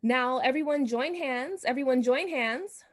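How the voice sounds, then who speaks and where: A woman's voice: sits high, unhurried, slight background noise. A woman playing a gushing, self-important society hostess and would-be medium in a radio drama, speaking in a grand, theatrical manner. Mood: grand, commanding.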